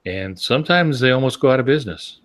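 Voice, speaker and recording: A man's voice: mid-range voice, expressive, fast, a bit bright. Male podcast panelist, conversational explainer who reasons step by step and poses rhetorical questions. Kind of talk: casual explanatory discussion.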